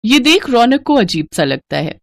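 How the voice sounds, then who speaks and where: A woman's voice: a little high, very animated, normal speed, gravelly, dry recording, clean studio sound. A woman storyteller reading a scripted narration of a moral tale.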